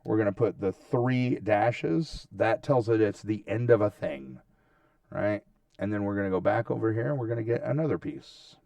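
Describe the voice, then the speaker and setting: Low, creaky-voiced man, casual tutorial host. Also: moderate pace, warm tone, dry recording, far from the mic. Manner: informal, checks in often with a tag question. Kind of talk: casual screen-share tutorial, narrating steps as he goes.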